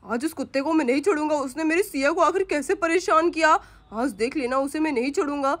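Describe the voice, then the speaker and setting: A woman's voice: high voice, fast talker, pitched below the performer's own voice, natural voice. A woman voicing a careless, boastful young husband in a cartoon story. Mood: agitated.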